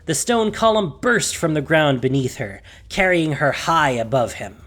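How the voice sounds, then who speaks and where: A woman's voice: low voice, expressive, a little slow, faint music behind. A woman narrator reading a scripted fantasy story in an intense, emphatic, theatrical style.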